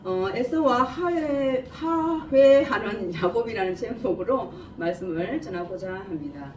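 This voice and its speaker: Korean lady preacher w regional dialect, slight lisp, really animated delivery, echoey room. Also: medium pitch, measured pace. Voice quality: slightly imprecise, lisping articulation. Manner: asks listeners rhetorical questions and answers them. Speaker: preacher giving a Bible message. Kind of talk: sermon, explaining scripture verse by verse. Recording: echoey room, distant mic, faint noise.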